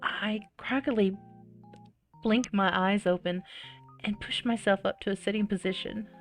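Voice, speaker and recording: A woman's voice: mid-range voice, fairly even intonation, unhurried, soft background music. A woman reading a visual novel aloud with dramatic expression and casual, giggly reactions.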